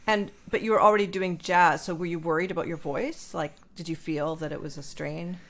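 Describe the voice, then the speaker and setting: A woman's voice: on the low side, fairly even intonation, brisk. A woman hosting a musician interview, asking long thoughtful questions with many small fillers. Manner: thoughtful, rambling questions with many "you know"s. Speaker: podcast host, violinist.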